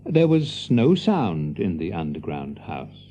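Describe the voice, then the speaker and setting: Animated, mellow male storybook narrator. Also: low voice, takes it slowly, soft background music. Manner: expressive, confiding storyteller. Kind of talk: dramatic scripted storybook narration.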